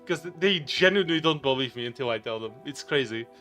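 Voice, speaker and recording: A man's voice: mid-range voice, animated, fairly quick, bright, forceful tone, faint music behind. A man with a Portuguese accent, casually chatting with viewers on a game live stream, rambling and chuckling often.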